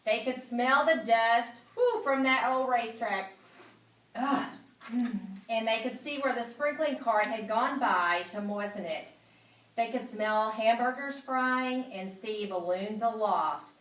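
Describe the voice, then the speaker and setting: A woman's voice: on the high side, takes it slowly, fairly bright tone. A woman reading a children's story aloud expressively and dramatically, repeating phrases and adding asides. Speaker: school principal reading aloud. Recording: echoey room, somewhat distant mic.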